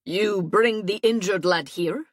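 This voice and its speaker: A woman voicing a big, gruff male knight who speaks curtly and sternly. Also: mid-range voice, a little slow, no room sound, lightly acted.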